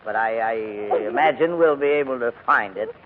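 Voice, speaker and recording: An actor playing a crusty but kindly older gentleman in a formal, hesitant manner, in 1940s radio drama style. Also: medium pitch, brisk, a little hiss or hum, lightly acted. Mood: grumbling, fussy. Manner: fussy, grumbling, formal, hesitant. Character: crusty, reluctant but kindly old English gentleman guardian. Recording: muffled vintage 1940s radio recording, music.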